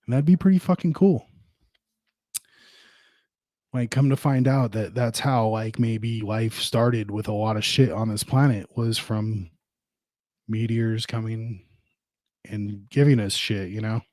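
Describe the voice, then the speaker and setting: A man's voice: on the low side, steady tone, unhurried, long pauses, mellow, no room sound. A man hosting a podcast solo, rambling casually and informally with plenty of swearing. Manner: profane, informal. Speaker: podcast host.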